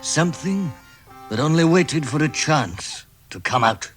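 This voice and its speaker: An actor playing a wry, deliberate storybook narrator, in a vintage radio drama. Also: male voice, on the high side, animated. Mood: storytelling. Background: music.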